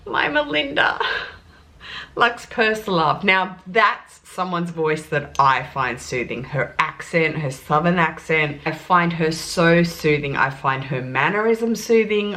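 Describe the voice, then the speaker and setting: Australian woman vlogger, young, lower-pitched, chatty and laughing, sitting down at home talking to her camera. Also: takes it slowly. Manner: animated, laughs often, warm and gushing. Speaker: luxury beauty vlogger. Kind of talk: casual chatty Q&A.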